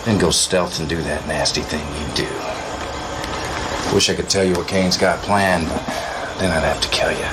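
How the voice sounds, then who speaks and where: A swaggering militant officer briefs a commander in a game cutscene. His voice is deep, his talk casual, slangy and brotherly. Clear noise and vehicle sound run behind him. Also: fairly even intonation, normal speed. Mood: joking, earnest. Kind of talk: conversational video game mission briefing acting.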